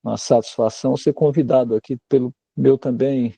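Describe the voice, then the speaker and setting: A neurologist from Alagoas, Brazil, giving an online lecture over a video call. He talks in a measured, courteous way and uses a lot of fillers. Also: mid-range voice, steady tone, vocal fry, mellow, slightly rough, no room sound.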